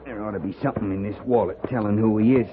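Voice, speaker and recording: A hostile frontier horse thief, acted by a man in a vintage radio western. He talks low in curt, rustic American phrases, full of suspicion. The recording is narrow and plainly noisy, like old radio. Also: fairly even intonation, rapid-fire, lightly acted. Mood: grim, irritable. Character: suspicious, gruff horse thief guarding his backwoods homestead. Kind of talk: 1950s radio western acting.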